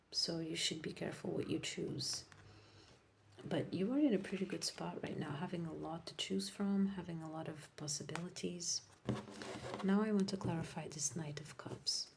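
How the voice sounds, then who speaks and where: A woman whose first language is Portuguese, giving a casual tarot reading to viewers, rambling and correcting herself, often dropping into soft whispers. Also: fairly low pitch, fairly even intonation, normal speed, creaky, slight background noise. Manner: drifts into soft whispers while laying cards. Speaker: tarot reader.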